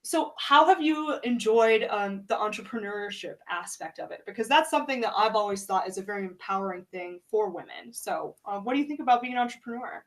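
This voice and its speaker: A woman's voice: mid-range voice, expressive, fairly quick, hardly any pauses. A woman hosting a casual live-stream chat, talking loosely with plenty of fillers. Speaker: host.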